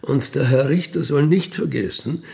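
Gravelly man, blunt storyteller. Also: mid-range voice, normal speed, mellow. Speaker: ex-convict telling his experiences. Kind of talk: colloquial spoken monologue, recounting.

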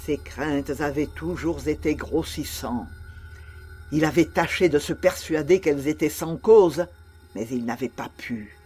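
Man playing a slow-spoken, nervous madman over music. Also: a little high, a little mellow, husky. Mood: hushed, menacing. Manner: deliberate, halting, theatrical, with insistent repetitions. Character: nervous, obsessed madman confessing his murder, male. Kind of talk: dramatic literary audiobook narration. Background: music under the voice.

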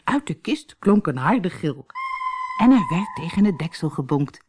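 Low, very animated woman storyteller. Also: unhurried, background noise. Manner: expressive, dramatic. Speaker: audiobook narrator of fairy tales. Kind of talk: scripted storytelling narration.

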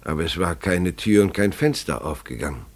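An actor playing a grave, bewildered family father who tells his story haltingly and deliberately in a German radio play. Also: low-pitched, lively intonation. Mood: hushed, bewildered.

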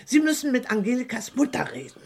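An actress playing a practical, firm female employee in a German radio crime drama, husky and emphatic. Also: on the high side, lively intonation, fast, darkish tone. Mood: urgent, firm.

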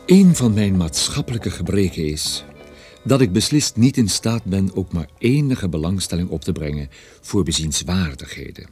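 A man's voice: deep voice, animated, normal speed, a little mellow, soft background music. An actor playing a wry, self-deprecating private detective who narrates his own story in a radio drama.

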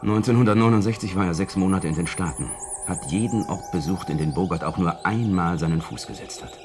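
Male actor for secret agent in radio drama, extremely low voice, terse and factual, music underneath. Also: brisk, close to an everyday voice. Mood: factual, intent. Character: matter-of-fact male secret service investigator briefing a colleague. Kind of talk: 1970s German radio play dialogue.

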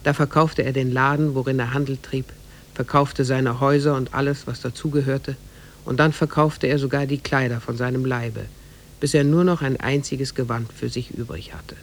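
A man playing a measured storyteller, narrating an old oriental fairy tale in a 1950s radio drama. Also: medium pitch, steady tone, lightly acted. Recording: faintly noisy 1950s radio recording.

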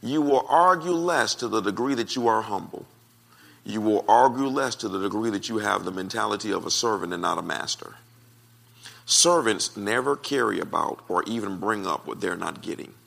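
An American man preaching and answering questions in a naturally loud voice, with a folksy, emphatic way of talking that repeats phrases for effect. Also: lowish voice, expressive, normal speed, gravelly. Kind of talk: conversational sermon with question and answer.

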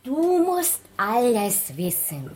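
A woman's voice: on the high side, huge pitch swings, takes it slowly, noisy background, exaggerated voice. Gossipy circus woman, swooping intonation. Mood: gossipy, insistent. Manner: gossipy, broken German. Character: gossipy middle-aged former circus snake dancer, theatrical and nosy. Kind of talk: radio play acting.

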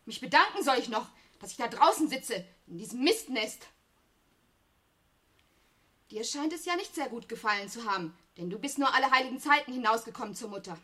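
A woman's voice: on the high side, expressive, brisk, pushed and bright. An actress playing a proud, aggrieved young working woman, emphatic and reproachful, in a radio play. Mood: bitter. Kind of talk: German radio play acting.

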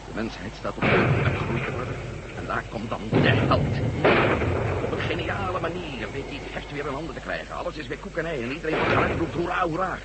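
A man's voice: fairly high pitch, very animated, fast. A man acting in a Dutch science-fiction radio drama as a weary, anxious ex-astronaut with an earnest, dramatic delivery. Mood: grave, desperate. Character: weary, anxious male ex-astronaut on a desperate mission. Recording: very noisy old radio drama recording.

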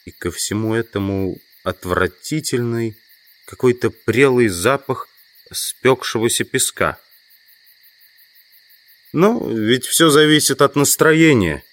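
An actor playing a weary, educated insect collector, thinking aloud in a halting inner monologue for an audio drama. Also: male voice, mid-range voice, lively intonation, fairly bright tone. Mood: displeased, reflective.

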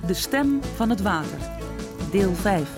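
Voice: medium pitch, animated, slow pace. A narrator reading scripted introductions for a radio drama. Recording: music under the voice. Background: music.

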